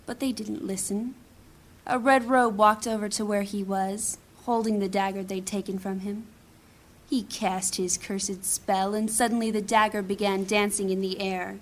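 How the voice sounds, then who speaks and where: A woman playing a proud, embittered thief in a fantasy audio drama, speaking formally and gravely as if giving testimony. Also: fairly high pitch, natural voice. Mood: bitter grief. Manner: formal, grave, deliberate storytelling.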